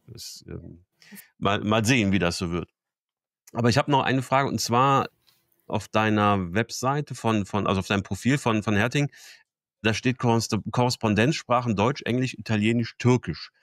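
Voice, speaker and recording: A man's voice: lowish voice, normal speed, fairly bright tone, no echo, studio quality. A man hosting an interview, asking long questions with frequent restarts. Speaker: podcast host.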